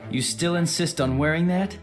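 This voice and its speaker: An actor playing an aloof, calculating teenage student with haughty, clipped, articulate speech in an anime audio drama. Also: male voice, fairly high pitch, normal speed, over music, lightly acted. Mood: exasperated.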